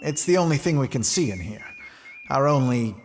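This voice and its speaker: An actor playing a dutiful, harried space station commander in a sci-fi audio drama, polite yet brisk and exasperated, over music. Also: male voice, mid-range voice, lively intonation, a little mellow, slight background noise, natural voice. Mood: courteous.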